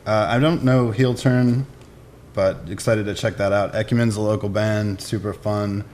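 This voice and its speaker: A man with a fairly low voice speaks casually, as if showing things to friends. His phrases are short, informal and unscripted. There is faint noise behind him. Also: steady tone, normal speed, darkish tone. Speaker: musician presenting record picks. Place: indoors in a record shop.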